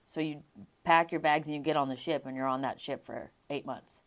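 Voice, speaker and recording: A young adult woman from Las Vegas, a singer being interviewed, telling her stories in an animated way and laughing often. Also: low voice, brisk. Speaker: guest singer. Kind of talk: casual interview chat.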